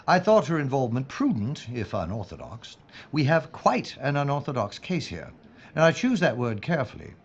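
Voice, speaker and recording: Man playing an animated, earnest wartime police inspector. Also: mid-range voice, normal speed, slightly rough. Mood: deferential, earnest. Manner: formal, grave, courteous. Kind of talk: period audio drama dialogue. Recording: slightly muffled with background noise.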